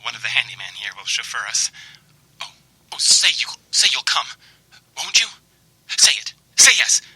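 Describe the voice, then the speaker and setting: A man's voice: mid-range voice, big pitch swings, moderate pace, pushed and bright, slightly rough, dry recording, exaggerated voice, low-quality recording. An actor playing an unstable, lovesick young man who stammers and frets in old-time radio mystery style. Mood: desperate pleading. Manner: stammering, pleading, overwrought.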